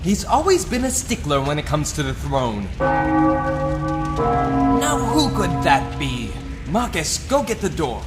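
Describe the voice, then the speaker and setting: A man's voice: fairly high pitch, huge pitch swings, a little slow, loud music behind the voice. A man playing a spoiled, lazy young prince in an audio drama, with an airy, mock-dramatic manner. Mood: flippant. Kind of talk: fan audio drama acting.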